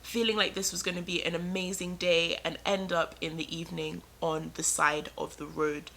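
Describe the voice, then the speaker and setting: Bright-voiced woman telling a dramatic story. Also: on the low side, moderate pace, vocal fry, slight background noise, poor audio. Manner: animated, dramatic storytelling with laughter. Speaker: vlogger. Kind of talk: storytime narration.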